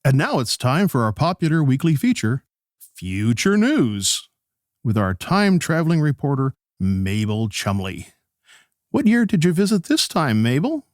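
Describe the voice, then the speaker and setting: An actor playing a polite, chatty old-time radio host, with an animated announcer's way of talking. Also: male voice, medium pitch, a little slow, no room sound, clean studio sound. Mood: chipper. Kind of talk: radio play interview patter.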